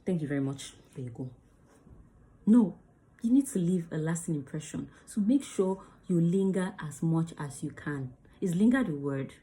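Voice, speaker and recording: Female acting coach, chatty and emphatic, with a low, warm voice. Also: expressive, moderate pace. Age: adult under 35. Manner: chatty, emphatic, demonstrates lines. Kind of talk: casual teaching to camera.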